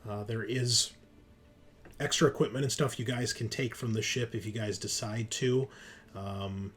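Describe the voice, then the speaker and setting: A man narrating a tabletop roleplaying game as game master, explaining things conversationally with pauses mid-sentence. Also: lowish voice, steady tone, a bit bright. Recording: music behind the voice. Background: background music.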